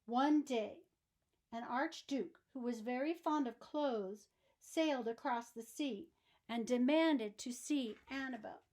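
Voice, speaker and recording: A woman reading a children's storybook aloud in expressive narration, with deliberate, emphatic phrasing for young listeners. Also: fairly high pitch, rough recording.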